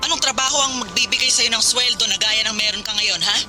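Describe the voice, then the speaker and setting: A woman's voice: on the high side, fast. An actress playing a stern, practical Filipino seaman's wife in a radio drama, firm and exasperated with her husband. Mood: firm, scolding. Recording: loud music under the voice.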